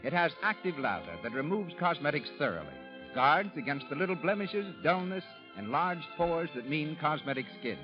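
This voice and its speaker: A man's voice: on the high side, big pitch swings, normal speed. A man with an American accent announcing a scripted 1930s radio show in a formal, emphatic showman's delivery. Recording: dull 1930s radio broadcast, music behind.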